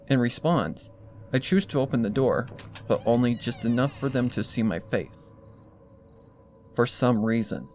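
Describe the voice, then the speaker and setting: A man reading a scripted story aloud as narrator, in an expressive style. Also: medium pitch, slight background noise. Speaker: fan fiction reader.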